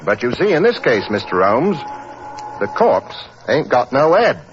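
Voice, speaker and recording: An actor playing a gruff, officious London police constable with a plain-spoken Cockney accent, in 1940s radio style. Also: male voice, medium pitch, sing-song, measured pace, a little hiss or hum. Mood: surprised, deferential. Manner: gruff, blustering. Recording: dull old 1940s radio recording.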